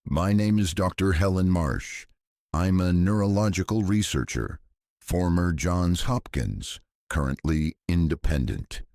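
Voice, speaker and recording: A man voicing an earnest, guarded researcher, a woman in her mid-fifties, speaking quietly and seriously in an audio drama. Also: sits low, unhurried, no room sound, clean studio sound. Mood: formal, composed.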